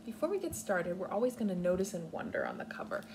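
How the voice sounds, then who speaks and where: Casting: young adult American woman, kindergarten teacher reading and explaining to children, medium-pitched voice, noisy living-room recording. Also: big pitch swings, fast talker. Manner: instructive, simple wording with clear explanations. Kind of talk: read-aloud lesson for young children. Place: living room on a couch, indoors. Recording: clearly noisy home recording.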